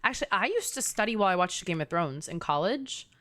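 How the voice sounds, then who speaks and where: Young female streamer. Casual gameplay chatter, teasing asides to viewers, animated intonation. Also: mid-range voice, quick pace, dry recording, clean studio sound. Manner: bantering, teasing, talks to chat. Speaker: game streamer. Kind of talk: casual livestream chat and gameplay commentary.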